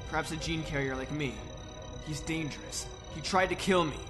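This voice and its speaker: A man's voice: on the high side, moderate pace, over music, natural voice. A man playing an urgent young ensign. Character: earnest young male ensign.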